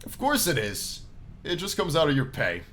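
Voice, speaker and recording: Guy reading as a flirty lady animatronic bear boss, smooth n inviting, visual novel character, bit of background noise. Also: on the high side, wildly swooping pitch, moderate pace, fairly bright tone. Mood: breezy, flirty. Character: female animatronic bear manager, flirty and self-assured.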